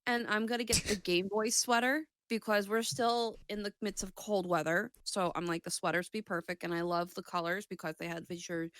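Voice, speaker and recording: A woman co-hosting a podcast in casual chat, excitable, chatty and full of slang. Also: medium pitch, moderate pace, hardly any pauses, no room sound. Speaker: podcast co-host.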